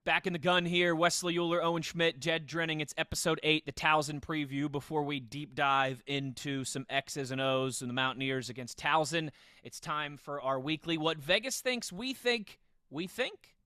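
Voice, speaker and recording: An American man in his thirties hosts a football podcast with upbeat energy. His voice is bright and forceful and sits slightly high. The sound is tight and dry, with little room around it. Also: fairly even intonation, moderate pace, clean tone, no room sound. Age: 30s. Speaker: sports podcast host. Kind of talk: upbeat football podcast chat and segment hosting.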